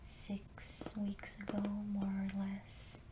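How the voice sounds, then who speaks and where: Slow, flat whispering woman. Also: medium pitch. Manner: soft, slow whispering with frequent ums. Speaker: ASMR creator. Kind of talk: whispered ASMR storytelling. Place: Los Angeles.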